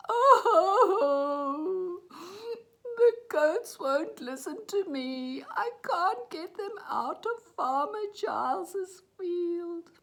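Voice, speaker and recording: A woman's voice: sits high, expressive, very slow, hardly any pauses, voice pushed up, exaggerated voice. A woman voicing an earnest, easily upset little boy in a children's story, plaintive and exclaiming. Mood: tearful.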